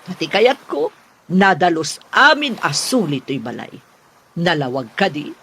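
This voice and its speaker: A woman's voice: lowish voice, big pitch swings, a little slow, fairly bright tone, a little hiss or hum, heavily acted. A woman playing a stern, haughty mistress of the house who scolds and gives orders in a radio drama. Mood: stern, demanding.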